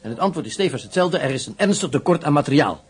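An actor in a radio drama playing a confident military camp commandant, brisk and officious, with a husky voice. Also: male voice, a little high, expressive. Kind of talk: radio play acting.

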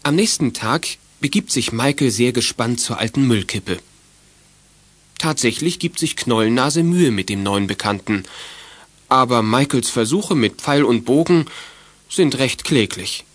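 A man narrating a 1980s children's detective radio drama in a composed, measured storytelling manner. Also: medium pitch, expressive, no room sound. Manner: measured, clearly scripted storytelling.

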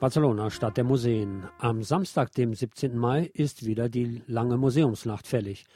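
Male radio presenter, soft mellow voice, reading local news, opinionated, light music underneath. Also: mid-range voice, normal speed. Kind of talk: scripted local news read with spoken asides.

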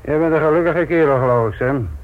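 A man's voice: a little high, quick pace, natural voice. Wry police inspector on a tinny radio recording, acted by a man. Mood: teasing. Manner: teasing, probing, familiar. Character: wry, needling male police inspector, ageing and weary. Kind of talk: radio drama acting.

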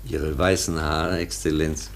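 A man playing a patient, devoted professor in a radio play, coaxing gently and explaining carefully. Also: extremely low pitch, level tone, unhurried, gravelly, full character voice. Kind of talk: German radio play acting. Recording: noisy old radio play recording.

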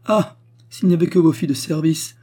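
A man's voice: on the low side, moderate pace, mellow, breathy edge. A man voicing a proud, distraught noblewoman, a widow who complains in haughty, tearful exclamations, as in an audiobook. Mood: indignant scorn.

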